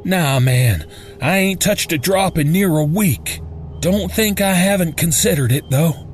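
A man's voice: on the high side, unhurried, faint music behind, pitched above the performer's own voice, close to an everyday voice. A man voicing a sleepless, anxious mountain man in a horror story, with a dry, strained, wavering, folksy delivery. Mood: shaken, defensive.

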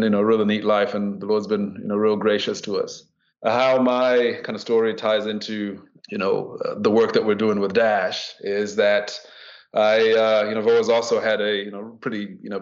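A man's voice: on the low side, dull audio. A middle-aged man from Zimbabwe, a podcast guest telling his story with many fillers and restarts. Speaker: guest, ministry executive director. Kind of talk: conversational storytelling.

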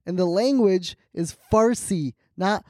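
High voiced guy on a podcast, joking around n swearing, super dry close mic. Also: slow pace, darkish tone, dry recording. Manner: joking, teasing, slangy, profane. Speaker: podcast co-host. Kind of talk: casual comedic banter. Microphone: close podcast microphone.

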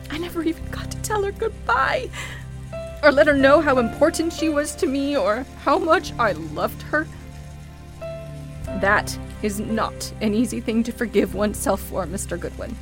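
An actress plays a candid, vulnerable woman in a radio play, carrying grief and guilt. She speaks at an unhurried pace, halting and emotional as she confides. Soft music plays underneath. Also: on the high side.

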